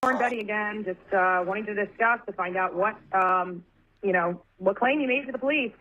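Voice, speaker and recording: A tinny phone line carries a woman's voice at a medium pitch. She is confrontational and insistent, pressing accusatory questions as if leaving a message. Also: fairly quick, fairly bright tone.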